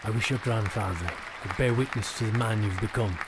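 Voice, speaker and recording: An actor playing a stern, proud father and older knight, spoken over loud background noise. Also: lowish voice, a little fast, over a noisy crowd, close to an everyday voice. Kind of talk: audio drama acting.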